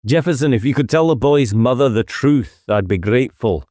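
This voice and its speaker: A man's voice: mid-range voice, lively intonation, normal speed, darkish tone, no echo, studio quality, pitched above the performer's own voice, natural voice. A man voicing a weary, bitter policeman in an audiobook, sardonic and full of self-pity. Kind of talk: dramatised audiobook dialogue.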